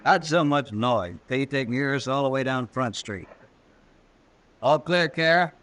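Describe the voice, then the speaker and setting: An actor playing a frontier bank robber, a wary gang boss, in vintage radio drama style. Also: male voice, medium pitch, unhurried, fairly bright tone, lightly acted. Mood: wary, commanding. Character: wary, bossy Old West bank robber gang leader. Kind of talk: 1950s radio drama acting.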